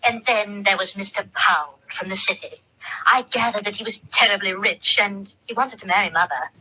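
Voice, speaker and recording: A woman's voice: medium pitch, brisk, sharp tone, breathy edge, poor audio. An actress playing a composed woman telling her childhood memories in an old-time radio drama, with polished, wistful storytelling. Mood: reminiscent.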